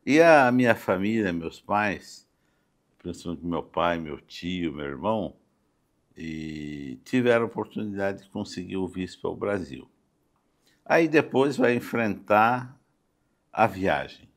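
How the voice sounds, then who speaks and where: Older man, Brazilian accent, very low voice, unhurried delivery, halting conversational interview answers, studio setting. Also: animated. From Campo Grande, Brazil. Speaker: interview guest, doctor and city councillor. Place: podcast studio.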